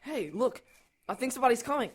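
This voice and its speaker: A woman's voice: a little high, expressive, fast, slightly rough. A woman acting an anxious, earnest, protective teenage brother in an audio drama, hesitant yet urgent. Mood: hesitant, concerned. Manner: hesitant, urgent, literal-minded.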